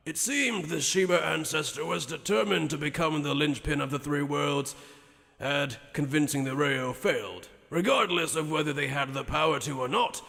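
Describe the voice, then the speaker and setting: A man acting a gravelly, echoing ancient sage. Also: a little high, bright, forceful tone. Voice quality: gravelly, creaky. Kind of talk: anime fan dub acting. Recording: long hall reverb on the voice.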